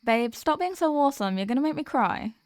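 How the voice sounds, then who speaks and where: A woman's voice: sits high, animated, brisk, dry recording, clean studio sound. A woman playing a sweet, weary demoness girlfriend in an ASMR roleplay, chatting affectionately and intimately to her partner. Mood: affectionate, gushing. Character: sweet, weary demoness girlfriend venting about office work.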